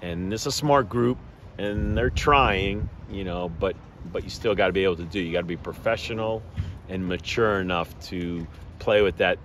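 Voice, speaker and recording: A man in his fifties, a head baseball coach, answering postgame questions in a measured, plainspoken way with many fillers and restarts, outdoors at a ballpark at night. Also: low-pitched, fairly bright tone. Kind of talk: postgame media interview. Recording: outdoor background noise.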